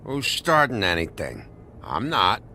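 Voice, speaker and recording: An actor playing a bitter, drugged-up monster barfly in human guise, belligerent and sarcastic, in a dramatic video game. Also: male voice, on the low side, wildly swooping pitch, unhurried, pushed and bright, slight background noise, full character voice. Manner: belligerent, sarcastic, swearing drawl. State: intoxicated on painkillers.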